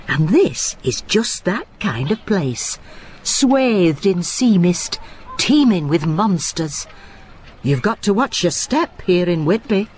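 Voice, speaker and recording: A woman's voice: on the low side, a little hiss or hum. An actress playing a cheerful retired landlady who narrates her own story in a radio drama, cosy and wry. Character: Brenda, a cheerful retired seaside landlady with a secret. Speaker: narrator and lead character.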